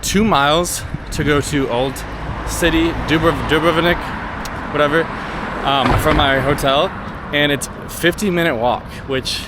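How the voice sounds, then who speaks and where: A man's voice: fairly high pitch, a little slow. An American man chatting casually and unscripted to the camera as a travel vlogger, informal and rambling, full of slang. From United States. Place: outdoors walking through a city. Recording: clearly noisy outdoor city street with traffic.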